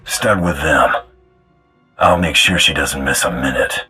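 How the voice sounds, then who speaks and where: A ruthless gun for hire in a game drama. His extremely deep voice is rough and forceful, with curt, threatening delivery. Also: a little slow, faint music behind, full character voice. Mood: menacing. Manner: terse, blunt, threatening. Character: cold, menacing male bounty hunter for hire. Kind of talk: dramatic video game voice acting.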